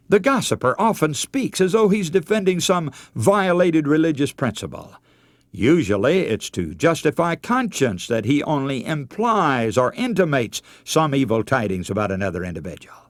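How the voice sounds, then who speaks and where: A man preaching a radio sermon in an earnest, emphatic way, with dramatic pauses. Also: medium pitch, dry recording.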